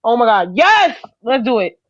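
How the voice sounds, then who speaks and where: A man heckling loudly and excitedly, cursing while watching a debate on a call. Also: very high voice, moderate pace, no room sound. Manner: loud, excited, profane heckling. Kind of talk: hyped commentary on a call. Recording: dull lo-fi mic.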